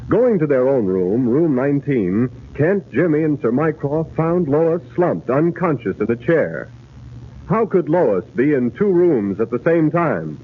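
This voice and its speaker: Old time radio announcer guy, dramatic, highish voice, tinny hissy sound. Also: very animated, unhurried, breathy edge. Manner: emphatic, theatrical. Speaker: announcer and narrator. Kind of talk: dramatic 1940s radio narration. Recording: tinny, noisy 1940s radio broadcast.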